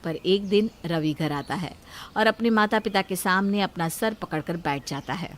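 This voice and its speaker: Low-voiced woman storyteller. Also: moderate pace. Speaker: narrator. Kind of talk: scripted moral-story narration.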